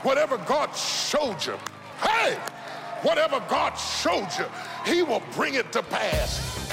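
A man in his fifties preaching an impassioned sermon in a church, repeating phrases and building to shouted peaks. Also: very high voice, wildly swooping pitch, takes it slowly, creaky, music in the background. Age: 50s. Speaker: pastor. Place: church sanctuary. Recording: long reverb of a large hall.

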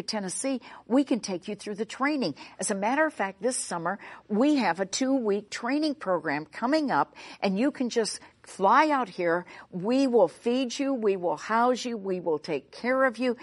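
A middle-aged woman from the United States, a Bible teacher telling a story in a sermon. She speaks emphatically and acts out the dialogue. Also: mid-range voice.

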